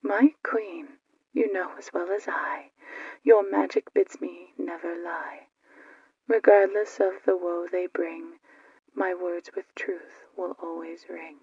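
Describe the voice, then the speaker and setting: A woman voicing a subservient magic mirror spirit in a fairy tale, speaking solemn archaic verse in a breathy whisper. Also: lowish voice, steady tone, no room sound, lo-fi sound, exaggerated voice. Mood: solemn, ominous. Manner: slow, solemn rhyming verse in archaic wording.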